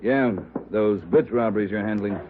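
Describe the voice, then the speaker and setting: An American actor playing a gruff, demanding veteran police captain in an old radio drama, speaking curtly. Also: male voice, lowish voice, moderate pace, noisy background, natural voice. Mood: brusque, demanding. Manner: brusque, curt. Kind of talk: 1950s radio drama acting. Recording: thin, tinny old radio broadcast.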